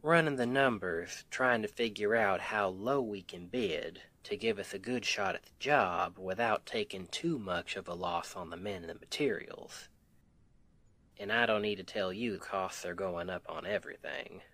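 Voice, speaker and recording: A man's voice: fairly low pitch, lively intonation, creaky, dry recording, exaggerated voice. A man playing a doting country husband with a Southern accent in an intimate ASMR roleplay, folksy and affectionate. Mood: weary, frustrated. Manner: affectionate, unhurried, folksy, gently teasing.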